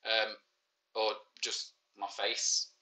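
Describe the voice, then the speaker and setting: A man in his forties with a clear Manchester accent hosts a live maths quiz for children. His voice is bright and forceful, and he talks in easy, joking banter, often teasing himself. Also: fairly low pitch, monotone, very slow, low-quality recording. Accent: Mancunian. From Manchester, England. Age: 40s. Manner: joking, self-mocking banter. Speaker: teacher hosting a live quiz. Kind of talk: casual livestreamed lesson.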